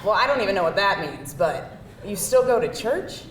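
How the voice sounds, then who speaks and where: A woman's voice: mid-range voice, very animated, normal speed, recorded from a distance, lightly acted. Actress as a wry woman in an echoey room. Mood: incredulous. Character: wry, candid woman on a date with an oddball. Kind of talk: live screenplay table read. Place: indoor live table-read event. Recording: echoey live room, faint noise.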